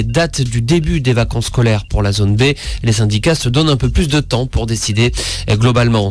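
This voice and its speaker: A man reading a scripted radio news bulletin. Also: mid-range voice. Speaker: radio newsreader.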